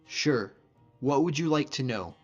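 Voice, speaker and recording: A man playing a friendly, modest lone-wolf hunter as a video game companion, speaking in an earnest, measured way. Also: medium pitch, lively intonation, highs cut off, soft background music. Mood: reflective, candid.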